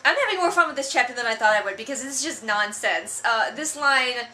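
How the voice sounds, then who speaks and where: A woman's voice: on the high side, lively intonation, fairly quick, pushed and bright, a little hiss or hum. A woman giving casual, sarcastic commentary, with an exasperated, mocking and emphatic way of talking. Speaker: book reviewer and vlogger.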